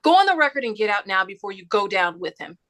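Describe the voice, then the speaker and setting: A woman's voice: mid-range voice, big pitch swings, quick pace, fairly bright tone. A woman reading the part of an urgent, pleading political insider in a stage comedy table read.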